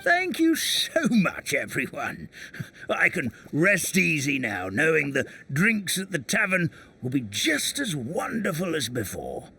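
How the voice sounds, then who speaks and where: A man voicing a grateful villager in a video game, speaking slow, heartfelt thanks. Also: fairly high pitch, wildly swooping pitch, gravelly, bright, forceful tone, slight background noise, heavily acted.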